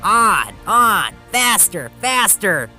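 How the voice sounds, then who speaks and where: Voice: mid-range voice, big pitch swings, very slow, bright, forceful tone, soft background music, pitched above the performer's own voice, heavily acted. A storyteller voicing mischievous troublesome railway trucks in a rhythmic, taunting chant for a children's story. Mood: gleeful.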